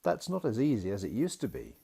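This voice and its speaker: A man voicing a gentle, patient father in a picture-book story, reassuring his small daughter as he explains things. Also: mid-range voice, huge pitch swings, fast talker, vocal fry, breathy edge, voice pushed up, heavily acted. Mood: explaining.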